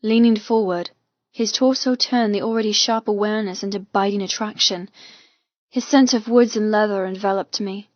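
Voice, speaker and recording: A woman reading a first-person romance audiobook with intense, expressive, dramatic delivery. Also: mid-range voice, moderate pace, dry recording, lo-fi sound. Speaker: audiobook narrator.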